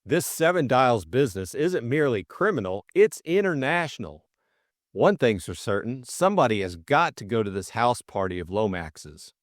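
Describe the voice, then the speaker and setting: A man voicing a breezy, excitable young English gentleman sleuth in an audiobook, full of upper-class slang and exclamations. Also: mid-range voice, moderate pace, dry recording, clean studio sound, close to an everyday voice. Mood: shrewd, earnest.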